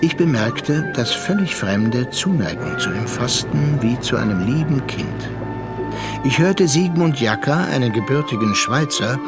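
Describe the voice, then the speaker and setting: Radio play narrator: male actor as seasoned seafaring man, mid-pitched, reflective, measured delivery, music underneath. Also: animated, lightly acted. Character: seasoned male seafarer recounting a troubled younger friend's story.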